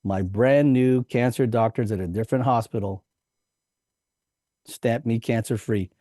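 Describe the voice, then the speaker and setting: A man's voice: mid-range voice, measured pace, warm tone, dry recording, clean studio sound. A man as a podcast guest, telling a personal story in an earnest, reflective way with light laughs.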